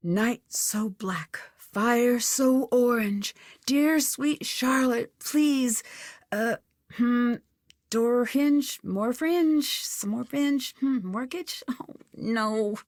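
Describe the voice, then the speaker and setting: Unhurried, higher-pitched young woman detective. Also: no echo, clean studio sound. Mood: playful, wry. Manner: wry, playful, sing-song reciting. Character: young female amateur detective, playful, curious and wry. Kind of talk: video game voice acting.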